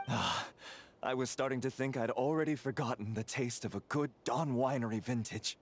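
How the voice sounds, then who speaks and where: An actor playing a nostalgic, wine-loving soldier in a fantasy game, speaking in an effusive, theatrical way. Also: male voice, mid-range voice, normal speed, a little hiss or hum, lightly acted. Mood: blissful nostalgia.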